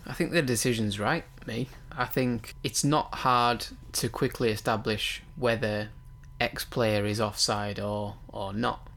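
Low-voiced man talking football. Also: fairly even intonation, a little slow, creaky, hardly any pauses, a bit bright. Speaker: podcast co-host, football pundit. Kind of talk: casual football discussion.

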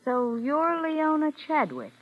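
A young schemer in a vintage radio thriller. She has a fairly high voice and a cool, brazen nerve. The sound is dulled like an old broadcast. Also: wildly swooping pitch, slow pace, heavily acted. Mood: cool, confrontational. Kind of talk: 1940s radio acting. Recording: muffled old radio recording.

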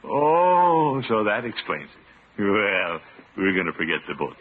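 Muffled vintage radio recording, blustery middle-aged American uncle, pompous yet soft-hearted, swooping lowish voice. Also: male voice, sing-song, slow, full character voice. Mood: soft, regretful. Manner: blustering, theatrical, indulgent. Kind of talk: classic radio comedy acting.

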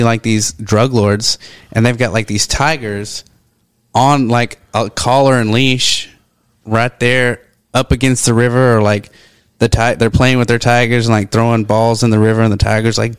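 Smooth-voiced man telling rambling stories. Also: medium pitch, no room sound. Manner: rambling storyteller, lots of "like" fillers. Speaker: podcast co-host. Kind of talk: casual chat.

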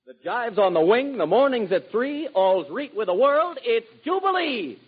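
A man's voice: very high voice, sing-song, moderate pace, breathy edge. A man announcing a 1940s radio show in slangy, showy jive introductions. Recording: tinny 1940s radio recording. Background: loud music.

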